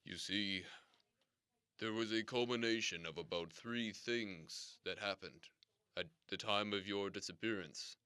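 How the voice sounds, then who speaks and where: An actor playing a formal, theatrical radio station boss who explains things slowly and swears grand oaths. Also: male voice, lowish voice, bright, forceful tone, smooth, no echo, clean studio sound. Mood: explaining. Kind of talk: comedic audio drama acting.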